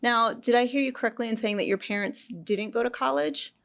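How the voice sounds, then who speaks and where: A woman's voice: on the high side, fairly quick, vocal fry, a bit bright. A woman working as a university recruiting director, hosting a student panel with friendly, encouraging questions. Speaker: director of recruiting, interview host.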